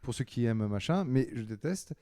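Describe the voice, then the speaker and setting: A man's voice: medium pitch, expressive, brisk, warm tone. A man co-hosting a film podcast, chatting casually and giving blunt, opinionated takes. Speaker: podcast co-host.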